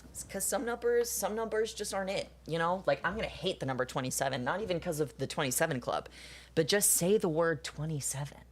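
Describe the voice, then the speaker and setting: A woman's voice: low-pitched, animated, fast, slight background noise. A woman in her twenties chatting casually with viewers, rambling, swearing and laughing often. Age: 20s. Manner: rambling, profane, laughs often, answers chat. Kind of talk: casual chat while drawing.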